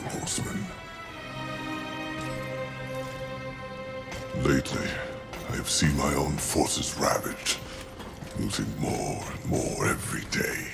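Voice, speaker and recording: A man's voice: very deep voice, vocal fry, heavily acted. A man voicing a weary, grandiose angel commander in a video game, speaking in a solemn, formal, theatrical way. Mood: grave. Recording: music behind.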